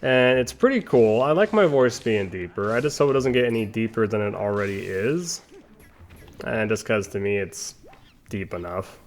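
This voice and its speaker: Male gaming host, slightly deep voice, casual rambling commentary, playful and talkative. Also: animated, moderate pace, soft background music. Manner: chatty, rambling, playful asides. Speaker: video game playthrough host. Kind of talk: casual gameplay commentary with tangents.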